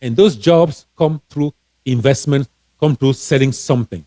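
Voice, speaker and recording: A man's voice: mid-range voice, moderate pace, warm tone, no room sound. A man from Cameroon, a financial coach and author, giving casual advice on a live stream, earnest and encouraging, often restarting his words.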